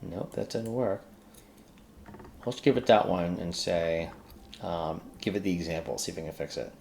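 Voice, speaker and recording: Low, creaky-voiced man thinking aloud. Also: moderate pace, noisy background. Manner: mutters to self while working. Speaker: screencast host working through a coding task. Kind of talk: casual think-aloud coding tutorial.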